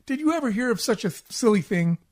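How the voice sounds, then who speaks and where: A man's voice: high voice, animated, quick pace. Guy doing a grumbling fed-up salesman husband, sarcastic, old-time radio sketch style. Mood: weary complaining. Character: grumpy, exasperated, henpecked husband, a struggling salesman. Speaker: community theatre actor. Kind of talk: 1940s radio comedy acting.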